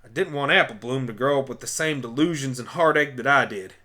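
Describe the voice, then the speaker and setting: Farm pony, female: stubborn, folksy, bright and forceful played by a man, for amateur radio drama. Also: mid-range voice, brisk, natural voice. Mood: earnest, wistful. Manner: folksy, forthright. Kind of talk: amateur radio play acting.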